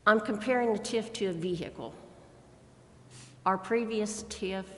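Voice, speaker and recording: A woman's voice: mid-range voice, measured pace. An American woman making plain-spoken, folksy remarks as a council member at a public meeting. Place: council chamber. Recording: reverberant meeting room.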